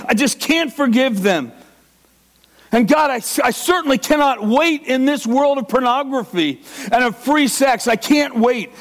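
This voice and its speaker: A middle-aged man preaching a sermon with passion, acting out the inner voice of a struggling believer. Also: extremely high pitch. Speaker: pastor.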